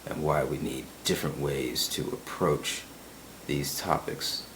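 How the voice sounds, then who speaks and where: A man's voice: rumbling low, fairly even intonation, unhurried, a bit bright, slight background noise. A man talking in a casual, musing monologue, often checking in with "right?" and repeating words as he thinks. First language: English. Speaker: self-help video host.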